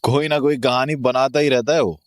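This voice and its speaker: A man's voice: mid-range voice, steady tone, normal speed, no echo, studio quality, lightly acted. A man playing an easygoing, reassuring husband with a conversational manner in an audio horror drama. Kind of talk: audio horror drama acting, Hindi-English dialogue.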